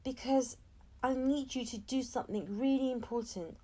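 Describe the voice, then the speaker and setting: Woman as a caring, earnest storybook mum, high-voiced. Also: moderate pace, dark tone. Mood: concerned. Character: caring mother, protective and earnest.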